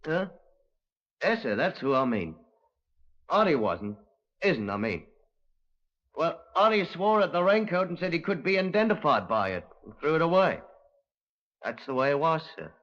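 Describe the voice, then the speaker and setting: An actor in a 1950s radio drama playing a dim, meek young crook, hesitant and deferential, with ungrammatical speech. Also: male voice, a little high, big pitch swings, moderate pace, long pauses, a bit bright. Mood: sheepish, eager. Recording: dull lo-fi 1950s radio recording.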